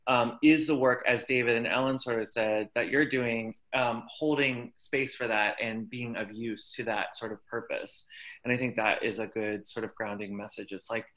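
A man's voice: mid-range voice, steady tone, normal speed, a bit bright, low-quality recording. A man in a workshop video call, thinking aloud in a rambling, hesitant way with many fillers. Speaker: workshop participant. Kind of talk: casual discussion on a video call.

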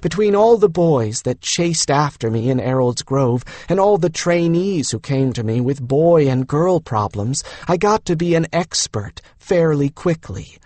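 A man's voice: on the high side, animated, measured pace, few pauses, darkish tone, dry recording. A man voicing a frank young woman in an audiobook, coaxing and lecturing insistently. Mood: matter-of-fact. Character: frank, coaxing young woman, exasperated older-sister-style adviser. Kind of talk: audiobook character dialogue.

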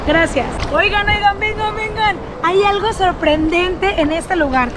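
Bubbly high-voiced woman on a beach. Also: unhurried, crowd noise, background noise. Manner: enthusiastic, addresses viewers as family. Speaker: travel vlogger. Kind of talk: upbeat travel vlog to camera. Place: outdoors on a sunny beach and in shallow sea. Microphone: action camera at arm's length.

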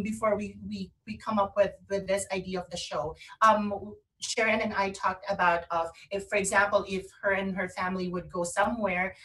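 Bright woman co-host, slightly distant mic. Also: medium pitch. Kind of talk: casual chat.

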